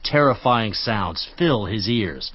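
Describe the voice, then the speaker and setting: A man's voice: lowish voice, lively intonation, slow pace, dry recording. Man doing a stern lecturing friend, voice swings up and down a lot, dry dull sound. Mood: reproachful. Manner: reproachful, lecturing, rhetorical questioning. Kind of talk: dramatized scripture reading. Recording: dry, slightly dull studio audio.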